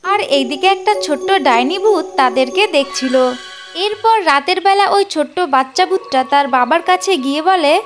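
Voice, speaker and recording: A woman's voice: sits high, lively intonation, fairly quick, faint music behind. A woman storyteller reading scripted narration for a children's cartoon.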